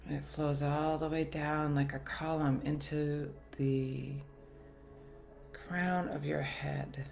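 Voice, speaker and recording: Slow, low-voiced woman guiding meditation. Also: flat delivery, creaky. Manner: soothing, gentle, unhurried with long pauses. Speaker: meditation guide. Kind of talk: guided meditation narration. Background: soft background music.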